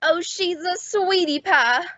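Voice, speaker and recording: A woman's voice: very high-pitched, slow pace, sharp tone, no echo, exaggerated voice. A woman playing an eager, awkward, smitten young bellboy with a flustered, hesitant manner in old-time radio comedy style. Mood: smitten, helpful. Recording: dull lo-fi close dry recording.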